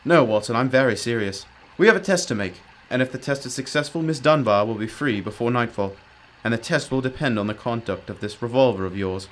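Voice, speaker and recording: A man's voice: mid-range voice, expressive, brisk, few pauses, lightly acted. A man playing a brilliant, commanding detective in a radio drama, explaining emphatically with old-fashioned courtesy. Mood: brisk, serious. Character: brilliant, commanding, self-critical male detective.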